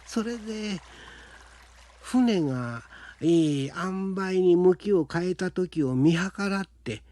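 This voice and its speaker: A man reading a scripted folktale in a measured, deliberate storytelling manner with pauses. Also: a little high, a little mellow, no room sound, clean studio sound. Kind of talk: scripted Japanese folktale narration. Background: background music.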